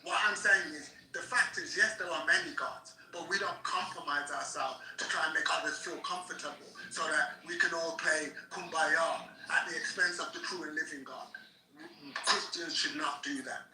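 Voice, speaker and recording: Forceful preaching man. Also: fairly high pitch, fairly even intonation, moderate pace, echoey room, distant mic, soft background music, rough recording. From Scotland. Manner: forceful preaching, stumbling restarts. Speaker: panel guest. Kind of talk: heated panel debate.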